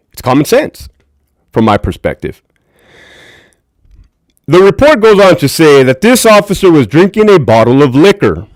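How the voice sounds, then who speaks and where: A former prison officer with a deep voice hosting a casual livestream, talking candidly and profanely to his viewers. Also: no echo, studio quality. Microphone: desk mic.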